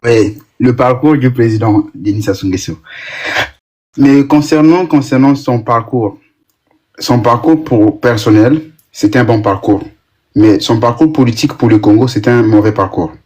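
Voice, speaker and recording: A man on a debate panel giving his view slowly and deliberately. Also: mid-range voice, darkish tone. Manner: slow, deliberate, measured. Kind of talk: online debate. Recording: muffled, distant mic.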